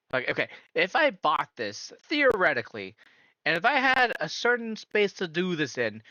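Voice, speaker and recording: A man streaming a casual reaction, his voice bright and pushed forward. He keeps asking why in disbelief. The sound is dry and a bit dull, like a modest home setup. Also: a little high, no room sound. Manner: incredulous, asks rapid repeated questions.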